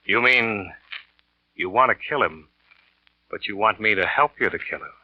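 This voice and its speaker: An actor playing a cynical, smooth-lying American salesman and narrator in a 1940s radio thriller, cool and offhand in manner. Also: on the low side, lively intonation, normal speed, no echo. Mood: cool, probing. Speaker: lead actor and first-person narrator. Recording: dull vintage radio recording with music.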